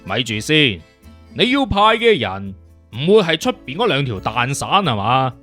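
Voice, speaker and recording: A man's voice: mid-range voice, sing-song, normal speed, pushed and bright, faint music behind, pitched below the performer's own voice. A man voicing a cocky, teasing detective consultant in an audiobook, speaking slangy with a swaggering, mocking manner. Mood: mocking, impatient.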